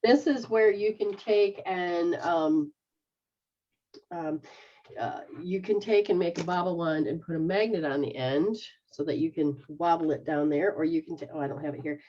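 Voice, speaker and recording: A woman's voice: fairly low pitch, normal speed, warm tone, dull audio. A middle-aged woman, a metaphysical practitioner, explaining healing techniques in a rambling, casual way and checking that she is understood. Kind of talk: casual instructional chat.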